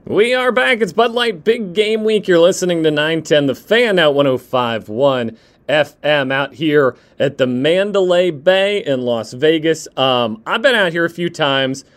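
A man's voice: on the high side, animated, a little slow, fairly bright tone. A man hosting a live radio interview, often repeating words as he talks. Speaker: sports radio host, interviewer.

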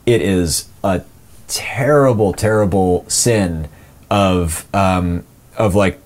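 A young man talks through interview answers in a relaxed, meandering way, circling back with fillers and false starts. His voice sits very low. He is an actor and co-producer describing his work. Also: takes it slowly. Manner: rambling, many fillers and restarts.

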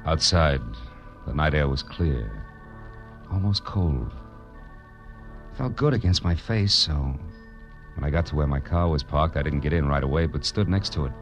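Brooding hardboiled detective man, 1940s radio noir, clipped lines, loud music behind. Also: extremely low pitch, expressive, moderate pace, long pauses. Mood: brooding, resigned. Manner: terse, brooding, clipped phrases. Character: world-weary, hard-boiled male private detective narrator. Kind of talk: 1940s radio noir first-person narration. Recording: dull 1940s radio recording. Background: prominent dramatic music.

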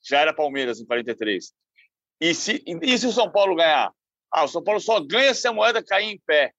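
High gravelly man recounting history quickly. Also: big pitch swings, a bit bright, no echo, dull audio. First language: Portuguese. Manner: knowledgeable, rattles off dates and facts quickly. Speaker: sports journalist panelist. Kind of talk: podcast discussion, storytelling.

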